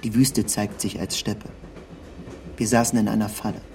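A man reading a scripted literary narration in the first person, with measured, precise and formal diction. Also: fairly low pitch, flat delivery, vocal fry, a little mellow, a little hiss or hum.

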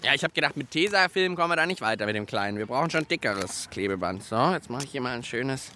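A man's voice: mid-range voice, animated, fast talker, bright, forceful tone, a little hiss or hum. A man hosting a children's radio show outdoors among autumn leaves, chatting playfully and explaining what he is doing. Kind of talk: lively radio chat while building.

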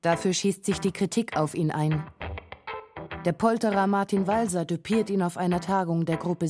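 A woman reading scripted narration for a radio literary documentary. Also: on the low side.

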